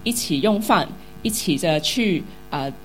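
A woman's voice: on the low side, expressive, a little slow, soft background music, poor audio. A woman interpreting a sermon into Mandarin, which is not her first language, hesitant and full of fillers.

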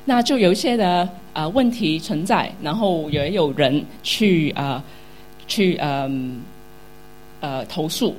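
A woman with a lowish voice interprets a sermon into Mandarin, which is not her mother tongue. She hesitates often, filling gaps with small sounds, and a faint hum runs under her voice. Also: expressive, takes it slowly, a little mellow, low-quality recording. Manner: hesitant, with many fillers.

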